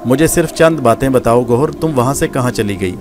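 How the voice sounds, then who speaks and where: A man voicing a ragged outcast who calmly and resignedly pleads his innocence, with music behind him. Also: medium pitch, lightly acted. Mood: resigned pleading. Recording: background music.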